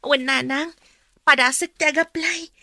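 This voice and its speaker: An actress playing an earnest, dutiful young graduate in a radio drama, expressive and respectful, with a northern Philippine accent. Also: female voice, high-pitched, measured pace, dry recording, exaggerated voice. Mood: hopeful, dutiful. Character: earnest, dutiful young woman graduate, newly qualified teacher.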